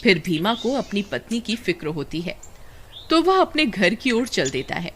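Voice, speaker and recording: A woman's voice: medium pitch, big pitch swings, normal speed, slight background noise. A woman narrating a scripted story as a storyteller. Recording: loud music under the voice.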